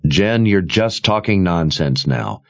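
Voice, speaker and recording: A man's voice: sits low, lively intonation, slow, full character voice. A man voicing a devious young male soldier in a fantasy audiobook, soothing and evasive. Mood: defensive.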